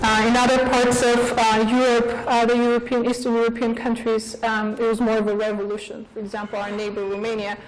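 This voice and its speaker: A woman in her forties from Bulgaria with a Bulgarian accent, giving a thoughtful interview as a professor, with frequent pauses and fillers. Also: fairly high pitch, flat delivery, slight background noise, poor audio. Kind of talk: interview answers.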